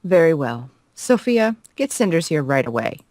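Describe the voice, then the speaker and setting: A woman's voice: sits low, very animated, normal speed, a little mellow, no echo, voice pushed down. A woman voicing a stern, commanding stepmother with a coolly formal manner in a visual novel. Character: stern, imperious stepmother, a lady of the house. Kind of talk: visual novel read-aloud.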